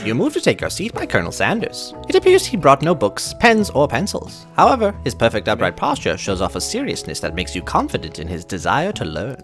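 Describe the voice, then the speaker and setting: A man co-hosting a game playthrough, switching between casual chat and animated story narration. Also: mid-range voice, fairly quick, few pauses. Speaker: gaming video co-host and narrator. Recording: music behind.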